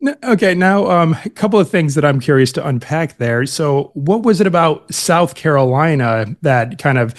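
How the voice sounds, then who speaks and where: A man's voice: a little high, expressive, moderate pace, hardly any pauses. Brief: male podcast host, casual, hesitant phrasing, many fillers. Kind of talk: casual interview.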